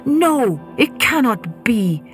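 A woman's voice: mid-range voice, expressive, slow, soft background music. A woman voicing an earnest, devoted young mortal woman, speaking breathlessly and urgently. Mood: pleading.

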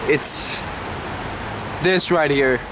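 A young adult man hosting a mock nature show to camera in a halting, deadpan, mock-reverent way. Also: on the high side. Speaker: host of a comedy cooking show. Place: outdoors in a suburban backyard. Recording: noisy outdoor camera audio, background hiss.

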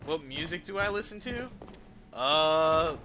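A young man talks casually to his game stream in an irreverent way. His voice sits a little high and moves around a lot, with game music clearly behind it. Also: lively intonation, measured pace, pushed and bright. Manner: irreverent, swearing. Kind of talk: casual live stream chat over gameplay.

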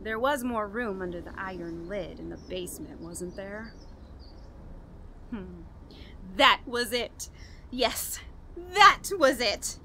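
A scheming, guilt-haunted murderous woman acted by a woman in a radio horror drama. Her voice is high and sharp-edged, and she takes her time, curt and brooding, leaving dramatic pauses. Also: exaggerated voice.

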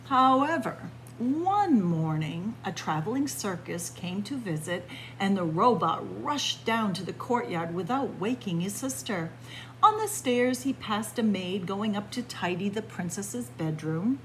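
A woman storyteller reads a picture book aloud to children in an expressive manner, recorded indoors in a library room. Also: medium pitch, measured pace, low-quality recording. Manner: expressive, addressing young listeners as friends. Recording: clear background room noise.

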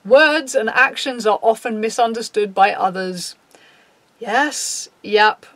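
Woman, 30s, low raspy voice; blunt, sweary talk to camera, dry sound. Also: slow pace, a bit bright, no room sound. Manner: frank, swears freely, reads out a list and reacts. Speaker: YouTuber. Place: small dim room, indoors.

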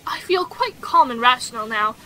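A woman acts out a headstrong young lady of a period romance audio play. Her high voice is bright and forceful. She speaks candidly and emphatically, with a touch of theatre, over faint noise. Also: animated, normal speed, poor audio. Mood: relieved. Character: outspoken, headstrong young society girl, candid chatterbox.

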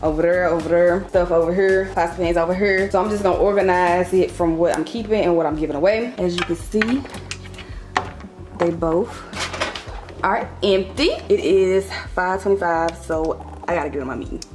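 A woman's voice: lowish voice, moderate pace. A young American woman chatting casually and directly to her viewers in an informal, slangy way, as a vlogger. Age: young adult. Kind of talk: casual chat to camera. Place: indoors, mostly a home kitchen. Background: music.

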